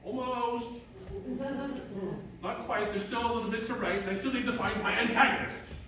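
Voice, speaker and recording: An eager, earnest playwright with a high, bright voice, rambling and a little defensive in comic stage dialogue. The sound is very echoey, picked up at a distance. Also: male voice, steady tone, moderate pace. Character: eager male playwright William pitching his earnest tragic play. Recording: roomy echo, distant mic, faint noise.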